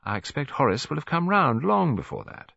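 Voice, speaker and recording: A man plays a kindly elderly English grandmother in an audiobook. She is chatty, fond and gently scheming, with a lilting, slightly husky delivery. The sound is dry and a bit dull. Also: medium pitch, wildly swooping pitch, fairly quick, no echo, pitched above the performer's own voice, heavily acted. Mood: soothing, reasonable.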